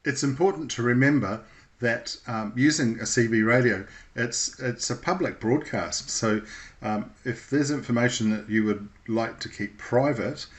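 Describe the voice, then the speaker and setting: A man presenting an informal, unscripted how-to lesson in a measured, explanatory way with thoughtful, hesitant phrasing. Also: mid-range voice. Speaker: instructor presenting a radio how-to video.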